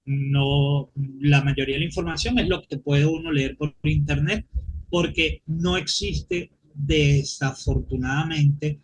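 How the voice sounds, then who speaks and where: A man with a Venezuelan accent and a fairly high voice gives detailed recollections as an interview guest. He searches for words as he goes, with faint noise behind him. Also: slow pace, low-quality recording. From Maracay, Venezuela. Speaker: guest comics historian.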